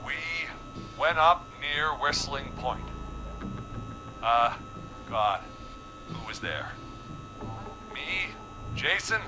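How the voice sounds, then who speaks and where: A trapped, self-justifying hostage in a horror game. His voice sits fairly high for a man, and he speaks slowly and haltingly, always on the defensive. Clear music runs underneath. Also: poor audio. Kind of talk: scripted game voice acting.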